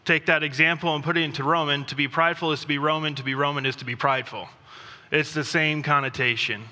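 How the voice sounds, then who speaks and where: A man who grew up in Thailand, preaching a sermon in a conversational, self-deprecating way with pauses and restarts. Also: on the high side, fairly even intonation, pushed and bright. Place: church sanctuary. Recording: long reverb of a church hall.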